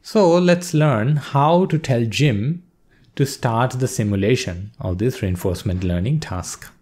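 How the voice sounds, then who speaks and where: A male instructor calmly explaining a coding task step by step in a screen-recorded lesson. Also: medium pitch, unhurried, slightly rough. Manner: steady explanatory pace, walks through steps. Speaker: course instructor.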